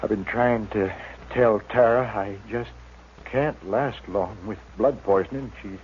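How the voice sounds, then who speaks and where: An actor playing a stoic, gravely ill frontier father, weak and halting, in a 1950s radio western. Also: fairly low pitch, lightly acted. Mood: resigned, weak. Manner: halting, resigned. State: weak, feverish, as acted. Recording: dull, faintly noisy vintage radio recording.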